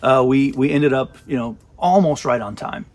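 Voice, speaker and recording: A man's voice: medium pitch, normal speed, darkish tone. A man giving a casual interview recollection, hesitant and searching for words. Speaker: radio drama director.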